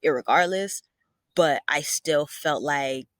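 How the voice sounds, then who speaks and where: A woman's voice: low voice, unhurried, no echo, studio quality. A young woman with a Southern accent, talking as a guest in a casual conversation, rambling with lots of fillers. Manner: rambling, lots of "like" and "you know".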